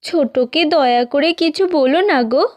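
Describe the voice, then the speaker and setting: High-voiced selfless young sister played by a woman. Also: moderate pace, darkish tone, no echo, studio quality, natural voice. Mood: tender, pleading. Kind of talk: Bengali cartoon fairy-tale acting.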